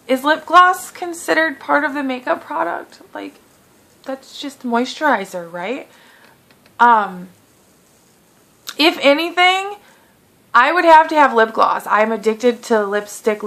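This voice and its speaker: A woman in her late twenties chatting through a casual beauty Q&A vlog, full of fillers, with a lingering cough. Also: a little high. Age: 20s. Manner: chatty, lots of fillers, animated quoting. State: lingering cough, clearing throat. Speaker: beauty vlogger.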